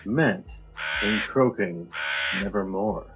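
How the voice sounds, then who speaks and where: A man guesting on a podcast, reading a poem in a measured, low dramatic delivery over a video call. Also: background noise.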